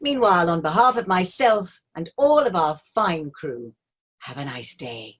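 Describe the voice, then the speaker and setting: An actress in a staged reading, playing a ship's captain making a formal, reassuring announcement to passengers. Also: female voice, on the low side, expressive, measured pace. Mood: officious, reassuring. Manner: formal, cheery announcement delivery.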